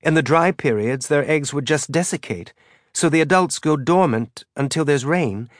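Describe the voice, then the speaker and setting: A man's voice: fairly high pitch, normal speed, no echo, studio quality, pitched above the performer's own voice, lightly acted. A man voicing a nervous young woman scientist who rambles eagerly, with a rising lilt. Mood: earnest.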